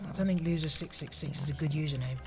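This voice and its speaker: A man's voice: on the high side, fast talker, loud music behind the voice, lightly acted. An actor playing a blithe, scatterbrained male office schemer, eager and a little bossy, in a comedy audio drama. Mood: distracted, cheerful.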